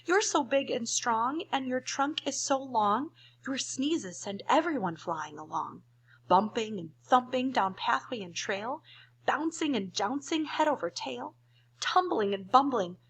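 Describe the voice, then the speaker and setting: Woman as a high, pleading storybook buffalo. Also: lively intonation, measured pace, a bit bright, breathy edge, full character voice. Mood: alarmed pleading.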